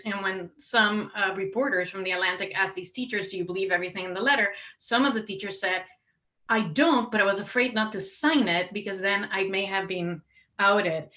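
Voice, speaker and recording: Bright-voiced woman panelist telling stories. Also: medium pitch. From Puerto Rico. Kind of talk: panel discussion, personal anecdote and argument.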